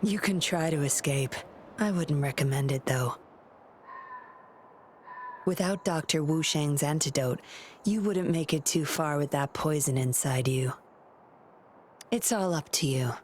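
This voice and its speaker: A woman playing a confident, commanding young woman in a video game, cool, measured and teasing. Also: sits low, a little mellow, a little hiss or hum.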